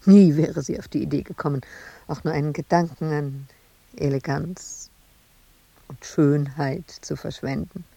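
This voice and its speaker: A woman's voice: low voice, fairly even intonation, a little slow, gravelly, warm tone, breathy edge, dry recording, full character voice. An actress playing an embittered, intense woman sculptor confined in an asylum, in a radio drama monologue. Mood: brooding, bitter.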